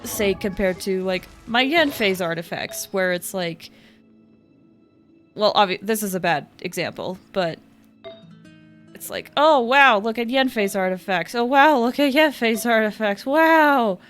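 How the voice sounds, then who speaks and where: A woman's voice: medium pitch, lively intonation, a little slow, fairly bright tone. A woman giving casual live gameplay commentary, rambling and thinking aloud as she plays. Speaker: game streamer. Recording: game music behind the voice. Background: video game music.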